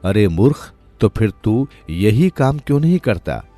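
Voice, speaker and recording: A man's voice: fairly low pitch, very animated, a little slow, mellow, dry recording, soft background music. A man voicing a poor, exasperated farmer father in a storybook tale. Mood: scolding, urging. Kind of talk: storybook character dialogue.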